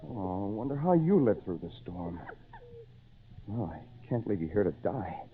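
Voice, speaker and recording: A tinny, slightly noisy vintage radio recording carries a lonely castaway man telling his story. His delivery is theatrical and earnest, with measured phrases, dramatic pauses and a very wide swing in pitch. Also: sing-song. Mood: tender, relieved. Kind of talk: old-time radio drama acting, first-person narration. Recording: tinny, band-limited old radio recording, faint noise.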